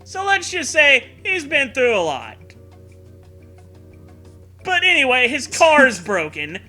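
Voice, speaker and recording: Male comedy host, very bright, lively swooping delivery, sarcastic story-reading with laughter. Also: extremely high pitch, takes it slowly, soft background music. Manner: animated, sarcastic, often laughing through words. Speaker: podcast co-host. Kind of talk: comedic riffing while reading fan fiction aloud.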